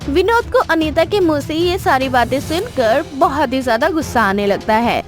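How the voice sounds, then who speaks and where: High, animated female story narrator. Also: moderate pace, over music. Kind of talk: scripted dramatic story narration.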